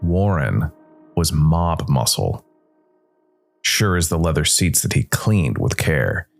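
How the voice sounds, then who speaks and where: A man playing a brooding jazz musician turned supernatural investigator, telling his case in measured first-person noir narration. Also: a bass voice, big pitch swings, vocal fry, soft background music, full character voice. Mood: moody, grave.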